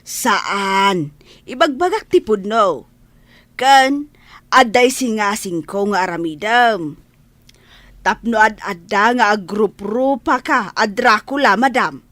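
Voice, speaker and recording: A woman's voice: mid-range voice, animated, slow, fairly bright tone, dry recording. A woman with a Filipino Ilocano accent playing a cheeky, teasing household servant in a comedy radio drama.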